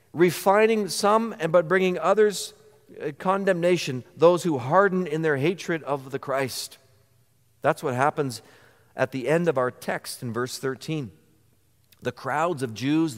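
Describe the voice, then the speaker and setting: A man preaching a sermon as pastor, earnest, posing rhetorical questions to the congregation. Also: a little high. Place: church sanctuary. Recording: church hall reverb.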